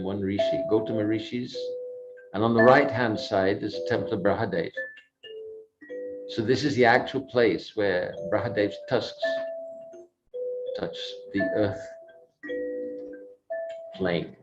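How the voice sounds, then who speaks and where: A low-pitched man narrates a devotional pilgrimage as a swami would, unhurried and musing. His phrases come in halting fragments with repeats. Clear kalimba music plays behind him and the audio is a little dull. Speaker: swami guiding a virtual pilgrimage. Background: kalimba music, soft mallet-like tones.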